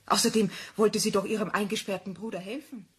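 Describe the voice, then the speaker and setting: A mother in a children's radio play, speaking in a husky, medium-pitched voice. She tells a fairy tale in simple, instructive phrases meant for a young child. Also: steady tone, a little fast. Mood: storytelling, instructive.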